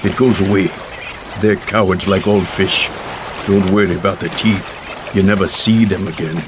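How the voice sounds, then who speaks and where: A man's voice: low voice, steady tone, normal speed, lightly acted. An actor playing an ageing, hearty Cayman Islander fisherman and guide in a radio drama, with a Caribbean accent and easygoing, folksy speech. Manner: easygoing, short plain instructions, folksy turns of phrase. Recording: noisy outdoor sound-effect ambience behind voice.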